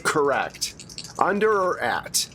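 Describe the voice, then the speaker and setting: A man's voice: fairly high pitch, big pitch swings, takes it slowly, fairly bright tone, slight background noise. Conversational man running a tabletop game. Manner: conversational, informal. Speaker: game master. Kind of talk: narrating a tabletop role-playing game.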